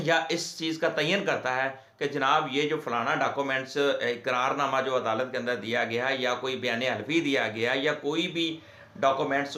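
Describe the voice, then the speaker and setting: A man with a Pakistani accent gives an informal legal explainer in a halting, repetitive, explanatory way, as the host of an advice channel. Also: medium pitch, pushed and bright. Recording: echoey room.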